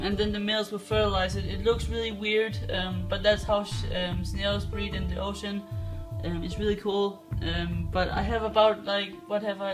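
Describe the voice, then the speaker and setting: Flat-voiced young man with Danish accent. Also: sits high, low-quality recording. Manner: rambling, full of ums and restarts. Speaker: aquarium hobbyist vlogger. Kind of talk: casual show-and-tell vlog. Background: music.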